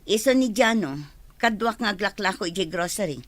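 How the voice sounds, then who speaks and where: A woman's voice: mid-range voice, brisk, no echo, lightly acted. A woman acting as a gossipy, fretful village woman in a radio drama.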